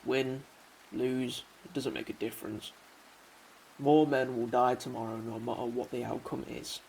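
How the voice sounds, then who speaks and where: A man's voice: mid-range voice, level tone, a little slow, vocal fry, darkish tone, poor audio. Man playing bitter, homesick soldier, faint hiss. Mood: bitter, resigned. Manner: brooding, rhetorical. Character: bitter, homesick young soldier, weary of war. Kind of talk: radio drama acting.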